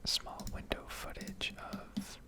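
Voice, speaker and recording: A woman whispers close to the microphone in a casual gaming ramble. She speaks hesitantly, as if thinking aloud to herself, in an intimate ASMR style. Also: takes it slowly. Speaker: gaming video creator.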